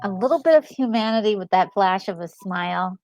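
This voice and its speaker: A woman co-hosting a gaming discussion, talking casually and enthusiastically in a halting, emphatic way over music. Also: mid-range voice, darkish tone. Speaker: gaming channel co-host. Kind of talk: casual commentary on a game story. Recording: music playing behind a close, dry voice.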